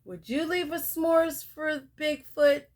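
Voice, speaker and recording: A young woman reading a picture book aloud to children, sing-song and pausing to ask the listener questions. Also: high voice, steady tone. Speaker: storyteller.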